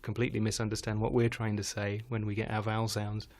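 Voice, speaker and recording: A man's voice: lowish voice, monotone, fast, a little mellow. A man speaking as a guest on a live show, chatting casually, often restarting and trailing off, laughing now and then. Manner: rambling, self-correcting, laughs often. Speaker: guest musician. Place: home studio in Denmark.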